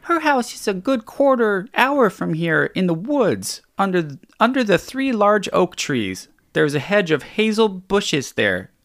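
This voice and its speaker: A woman voicing a naive, trusting little girl in a red cap, in a slow, wide-eyed, sing-song storybook read-aloud. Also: mid-range voice, big pitch swings. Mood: innocent.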